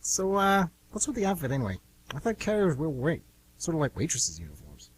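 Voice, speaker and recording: A man's voice: a little high, huge pitch swings, fairly quick, slightly rough, exaggerated voice. An actor playing an uneasy, curious young male friend in a radio drama, hesitant and slangy. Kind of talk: amateur radio drama acting.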